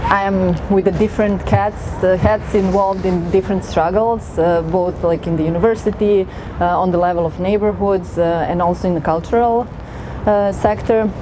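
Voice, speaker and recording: A woman's voice: mid-range voice, steady tone, normal speed. A woman from northern Serbia, a research fellow speaking on an outdoor city tour in a hesitant, friendly way with many fillers. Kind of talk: talk on a guided city tour. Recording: outdoor street noise. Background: street traffic.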